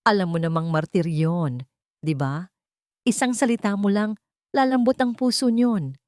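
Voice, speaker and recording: A woman's voice: mid-range voice, unhurried, a little mellow, dry recording, clean studio sound, natural voice. Whiny, scheming mistress, acted by a woman. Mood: whiny, pushy. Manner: affected, complaining, pushy.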